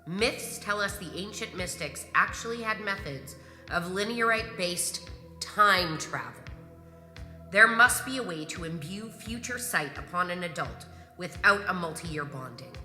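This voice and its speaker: A woman playing a ruthless, obsessive grand marshal in a science fiction audio drama, speaking formally and deliberately with command. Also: on the low side, lively intonation, pushed and bright, heavily acted. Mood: intense, scheming. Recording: echoey hall reverb, music underneath.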